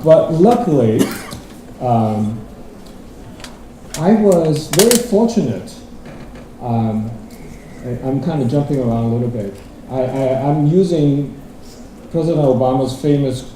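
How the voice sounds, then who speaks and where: A man's voice: mid-range voice, expressive, a little slow, long pauses, mellow, slight background noise. A middle-aged man from Hong Kong giving a rambling, self-deprecating conference talk, restarting his sentences often. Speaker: guest speaker. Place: large lecture hall. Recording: echoey hall from far off.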